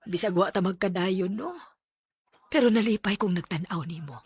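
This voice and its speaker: Radio drama role: old sweetheart, elderly widow, breathy-rough tone, Cebuano accent, plain-spoken and wry. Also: female voice, medium pitch, moderate pace. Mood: fond, wistful. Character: Helen, frank, wryly affectionate elderly widow, old sweetheart. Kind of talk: Bisaya radio drama acting.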